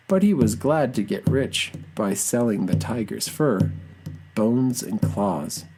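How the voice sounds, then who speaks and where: A storyteller giving an expressive, scripted reading of a children's folktale with dramatic, deliberate phrasing. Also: mid-range voice, rough recording.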